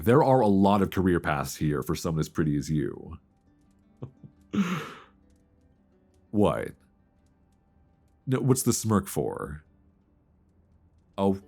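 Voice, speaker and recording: Casting: man to play affectionate male old friend for ASMR romance roleplay; low voice, very animated intonation, teasing banter, music behind. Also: heavily acted. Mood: teasing, flirty. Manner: teasing, stammering, chuckling banter. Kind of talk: intimate romantic ASMR audio roleplay, casual and conversational.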